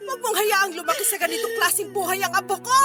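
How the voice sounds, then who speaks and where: A woman's voice: extremely high pitch, quick pace, music in the background. An actress playing a stern, desperate older mother-in-law in a radio drama, scolding and pleading tearfully. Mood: anguished scolding. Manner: scolding, imploring, tearful emphatic delivery. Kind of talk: Tagalog radio drama acting.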